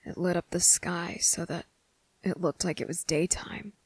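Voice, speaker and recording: A woman voicing a guarded, fed-up young boy in a hushed, halting ASMR roleplay monologue. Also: lowish voice, level tone, breathy edge, no echo. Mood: quiet, reflective. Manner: hushed, halting, pauses mid-thought.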